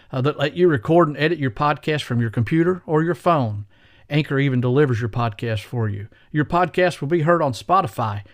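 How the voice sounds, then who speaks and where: A man's voice: a little high, dry recording, clean studio sound. A man hosting a podcast, reading scripted introductions and promotional copy. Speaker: podcast host. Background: faint music.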